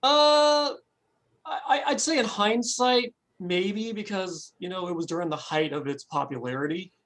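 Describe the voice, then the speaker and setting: A man of forty, a guest in a casual interview, talking in a relaxed, rambling way and laughing easily. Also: high voice, a little slow. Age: 40s. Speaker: guest, animator. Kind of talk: casual chat.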